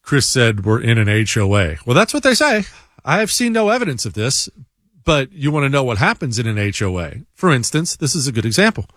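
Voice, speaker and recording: A man's voice: medium pitch, very animated, a little fast, a bit bright, no echo, studio quality. A man hosting a talk show, giving a blunt, combative monologue and reading listener emails aloud. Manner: blunt and combative, stammers on restarts. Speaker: show host.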